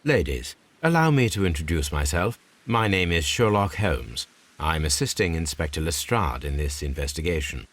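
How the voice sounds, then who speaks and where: A man voicing a composed, probing Victorian gentleman detective with an English accent and a formal, courteous, measured delivery in a video game. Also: rumbling low, sing-song, a little hiss or hum, exaggerated voice. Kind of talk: video game cutscene acting.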